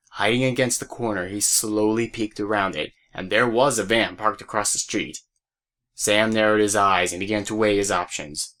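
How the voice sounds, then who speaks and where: A man's voice: fairly low pitch, fairly bright tone. A man narrating a scripted story as the narrator of an audio drama. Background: music.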